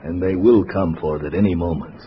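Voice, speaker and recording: An actor playing a haughty, anxious communist courier, formal and indignant, in 1950s radio drama style. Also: male voice, sits low, fairly quick, natural voice. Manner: formal, indignant, fretful phrasing. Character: anxious, haughty Eastern European communist diplomatic courier, male. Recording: dull, noisy vintage radio recording.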